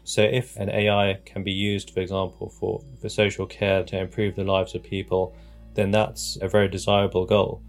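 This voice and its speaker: A man giving measured, reflective answers as an interviewed academic expert, in formal scholarly wording. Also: low voice, completely flat delivery, vocal fry, faint music behind. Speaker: interviewed expert on religion and technology.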